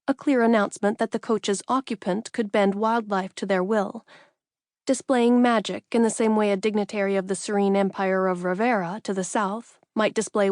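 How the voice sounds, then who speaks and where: A woman's voice: medium pitch, darkish tone, dry recording, studio quality. A woman reading a first-person fantasy audiobook as a scripted narrator.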